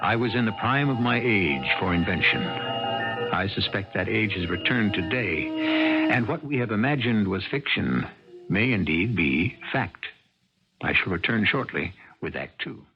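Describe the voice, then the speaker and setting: An American man hosting a radio drama with grave, theatrical, deliberate scripted narration. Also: on the low side, animated. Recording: dull old radio broadcast recording. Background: music.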